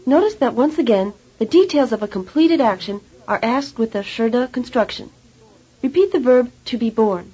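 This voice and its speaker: A woman narrating a language course tape in a measured, teacherly way. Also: on the high side, animated, dark tone. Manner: measured, clear teacherly delivery. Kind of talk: scripted instructional narration. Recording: dull lo-fi tape recording.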